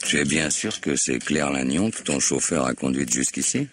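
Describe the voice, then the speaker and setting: An actor playing a methodical, insistent police chief in an old French radio crime drama, probing and thinking aloud. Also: male voice, extremely low pitch, animated, moderate pace, noisy background. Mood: cautious, businesslike. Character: gruff, methodical male police chief leading an investigation.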